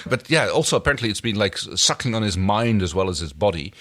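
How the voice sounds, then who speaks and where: A man co-hosting a podcast, retelling a TV episode in a loose, halting way with dry remarks. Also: fairly low pitch, expressive, fairly bright tone. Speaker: podcast co-host. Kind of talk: casual chat recapping a TV episode.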